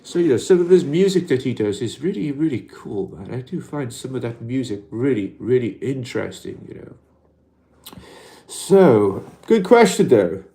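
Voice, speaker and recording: A Welsh man hosting a casual livestream in an alien costume, talking gently and reflectively and reading out viewer comments, with lots of "you know" fillers. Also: mid-range voice, sing-song, moderate pace. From Wales.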